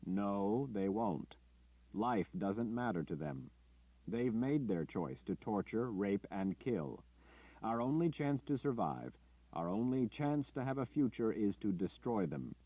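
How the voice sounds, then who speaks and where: A man voicing Richard, a forceful, urgent warrior leader. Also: on the low side, expressive, a little slow, slightly rough, dry recording, pitched above the performer's own voice, exaggerated voice. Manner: forceful, pleading, emphatic. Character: commanding male warrior leader, intense and urgent. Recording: dull dry lo-fi audio.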